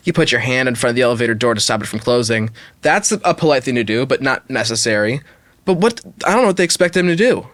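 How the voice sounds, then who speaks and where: A man's voice: on the high side, fairly bright tone, no echo. A man co-hosting a podcast in casual, opinionated discussion, reasoning through both sides and acting out imagined lines. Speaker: podcast co-host.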